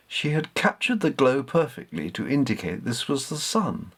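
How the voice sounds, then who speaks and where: A man reading a chapter of his own story as expressive, dramatic narration. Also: medium pitch, slightly rough.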